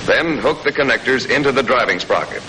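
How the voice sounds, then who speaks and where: An American man reading scripted 1940s military training film narration in a clear, matter-of-fact, instructive manner. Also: medium pitch, animated, brisk, bright, forceful tone. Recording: noisy old 1940s film soundtrack.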